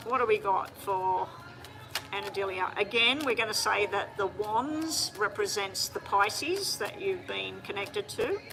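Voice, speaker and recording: A woman doing a live-streamed tarot reading, talking straight to viewers in a chatty, hurried way. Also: medium pitch, music in the background, poor audio. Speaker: tarot reader.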